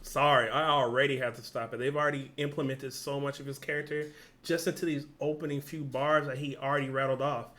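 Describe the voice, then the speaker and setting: A man hosting a reaction video, talking casually and excitedly, rambling when worked up. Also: mid-range voice, brisk.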